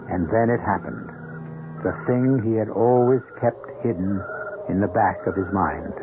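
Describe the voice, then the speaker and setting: A man's voice: on the low side, phone-quality sound, noisy background. A grave male radio mystery narrator. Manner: measured, grave storytelling. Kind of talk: dramatic scripted radio narration. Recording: band-limited old radio broadcast. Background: music.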